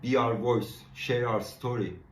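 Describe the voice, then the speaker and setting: A man in his twenties with an Iranian accent makes an earnest, halting appeal for support. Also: mid-range voice, fairly even intonation. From Iran. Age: 20s. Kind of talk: appeal in a social media video. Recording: echoey room.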